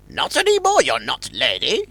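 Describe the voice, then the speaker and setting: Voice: mid-range voice, vocal fry, bright, forceful tone, breathy edge, full character voice. An actor playing a kindly, eccentric old male tortoise professor in an audio drama.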